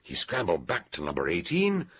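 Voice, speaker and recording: An actor playing an eccentric older British detective in a radio drama, theatrically and deliberately laying out a murder solution in a gravelly, husky voice. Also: male voice, sits low, huge pitch swings, fairly bright tone, full character voice. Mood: animated, authoritative.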